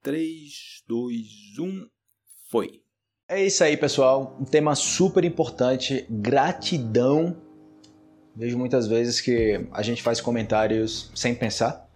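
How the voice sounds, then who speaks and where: A man's voice: mid-range voice, slow, soft background music. Brazilian man hosting a chatty podcast. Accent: Brazilian Portuguese. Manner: animated, rises sharply when acting out quoted remarks; conversational, with frequent tag questions. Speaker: podcast host teaching Portuguese. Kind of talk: casual solo podcast monologue.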